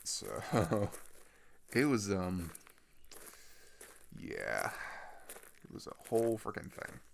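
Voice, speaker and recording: Low, animated, rambling teenage guy played by a man. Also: vocal fry, a little hiss or hum, heavily acted. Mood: subdued, reflective. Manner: rambling, halting, slangy, with animated retellings. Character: chatty, excitable teenage runaway boy telling road stories. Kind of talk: improvised-sounding ASMR audio roleplay monologue.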